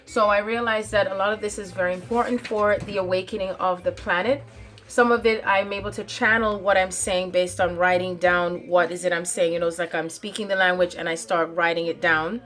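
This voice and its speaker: A woman's voice: fairly low pitch, little pitch movement, brisk, fairly bright tone. A woman talking straight to her viewers in a spiritual monologue, stating her claims with emphasis, often repeating phrases and stopping on fillers. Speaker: channel host.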